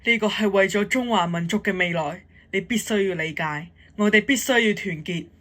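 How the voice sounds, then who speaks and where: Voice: mid-range voice, moderate pace, a bit bright, slight background noise, close to an everyday voice. Resolute male patriot general, amateur actor, declamatory and earnest, medium register, radio drama. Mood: grave, resolute.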